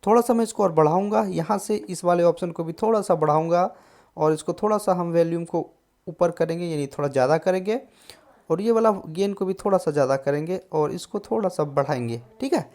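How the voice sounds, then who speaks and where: A man's voice: high-pitched, a little fast, a little mellow. Man, tutorial host, casual instructional delivery, frequent check-in tags. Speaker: host of a music software tutorial channel. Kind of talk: casual screen-recorded tutorial.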